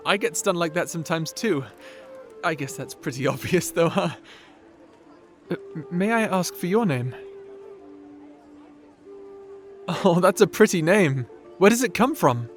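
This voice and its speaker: Man playing a stammering young wizard, higher voice. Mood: awkward, grateful. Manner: stammering, apologetic, flustered. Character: shy, nerdy, awkward young male wizard, anxious and polite. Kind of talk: fantasy audio roleplay acting.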